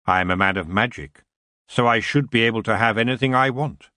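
Brief: deep, slow, silky old elf king with antlers, lordly and lazy, voiced by a male narrator, dry close sound. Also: sharp tone, no echo. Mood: languid. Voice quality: smooth, unhurried. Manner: lazy, drawn-out, coolly polite. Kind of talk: audiobook character voice.

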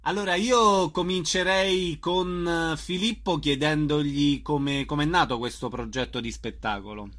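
Higher-pitched male radio interviewer. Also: measured pace, few pauses, a bit bright.

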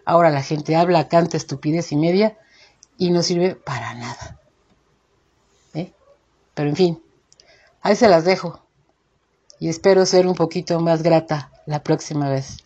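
Husky, indignant Mexican woman host. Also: deep voice, a little slow, pauses often, dark tone, highs cut off. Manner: indignant, emphatic, rhetorical questions. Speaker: show host. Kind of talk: informal opinion monologue to listeners.

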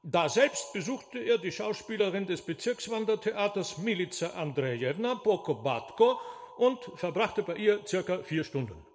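A man's voice: sits high, animated, moderate pace. An actor playing an impudent stage trickster in a radio drama, mock-polite and sly like a showman. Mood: gloating, mocking. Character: impudent mocking male stage conjurer's henchman, mock-servile trickster. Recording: long echo of a theatre hall.

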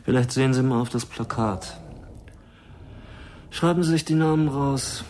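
A man acts a young circus clerk in a radio drama, making a show of being bored. His voice is mid-pitched and offhand, over faint background noise. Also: moderate pace, darkish tone, natural voice. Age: young adult. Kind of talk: crime radio play dialogue.

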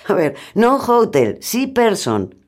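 An actress from an audio fiction, with an accent from Spain, playing a flustered, exasperated but kind-hearted woman, speaking colloquially with halting foreign phrases and muttered asides. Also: mid-range voice, huge pitch swings, a little mellow, heavily acted. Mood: flustered, insistent.

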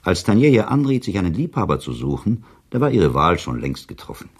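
Cynical, worldly man, radio play. Very low and creaky, dry, skeptical, knowing. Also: sing-song, a little fast, exaggerated voice. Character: worldly, cynical male listener commenting on a tale. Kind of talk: German radio play dialogue.

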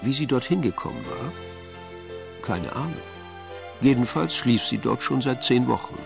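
A man's voice: medium pitch, animated, normal speed, gravelly. A man narrating a German crime radio play as a wry, detached storyteller with a dry, sardonic manner. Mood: dry, intimate. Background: music.

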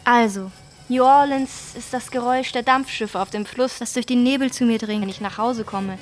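Female actor as lyrical, tender narrator for radio play, slightly high pitch, searching delivery. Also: moderate pace, close to an everyday voice. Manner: poetic, searching for words. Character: tender, searching woman in love with a city. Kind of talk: radio drama monologue.

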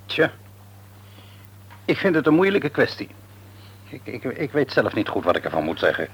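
An actor in a radio drama playing an older father who reasons frankly and gently reproves his grown son. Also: medium pitch, big pitch swings, moderate pace, highs cut off, a little hiss or hum. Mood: hesitant. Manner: reasoning, hesitant at times, frank.

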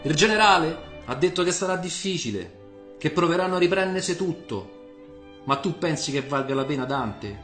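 A man's voice: on the high side, expressive, moderate pace, fairly bright tone, pitched above the performer's own voice. Man playing fervent Roman patriot Nino, echoing over music. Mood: earnest. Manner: vivid, emphatic storytelling in colloquial speech.

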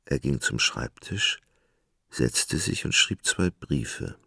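Very deep, gravelly male narrator. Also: animated, bright, forceful tone, no room sound, studio quality. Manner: measured, storytelling. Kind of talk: literary scripted narration in a radio drama.

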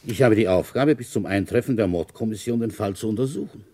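An actor playing a shrewd, quietly ambitious rural police inspector in a German radio crime drama, questioning in a pointed, probing way. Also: male voice, on the low side, quick pace, natural voice. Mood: blunt, insistent.

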